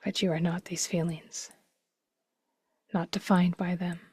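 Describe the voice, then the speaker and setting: A woman leading a guided meditation slowly, in a gentle, hushed, breathy voice with long pauses. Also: fairly low pitch, little pitch movement, dry recording. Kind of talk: guided meditation, slow and spacious.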